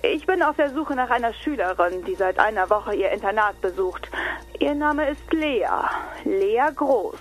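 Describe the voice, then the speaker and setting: An actress playing a secretive, evasive anonymous caller on the telephone in a children's audio drama, guarded and halting. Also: female voice, on the high side, lively intonation, breathy edge, low-quality recording. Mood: furtive, cold.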